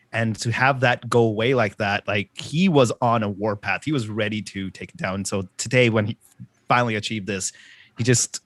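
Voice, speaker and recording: Halting man, dry close sound, tabletop player. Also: medium pitch, fairly bright tone, no echo. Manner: halting, searching for words. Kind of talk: casual, improvised character description.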